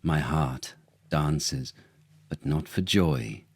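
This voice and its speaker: Man playing a slow, menacing, jealous king. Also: very deep voice, animated, husky, dry recording, full character voice. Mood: brooding. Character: jealous, furious king turning cruel and menacing.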